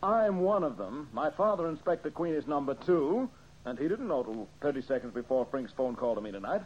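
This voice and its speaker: An actor playing a brisk young amateur detective and host in an old-time radio mystery, methodical and politely exasperated. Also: male voice, fairly high pitch, sing-song. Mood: accusing. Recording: dull, hissy old radio recording.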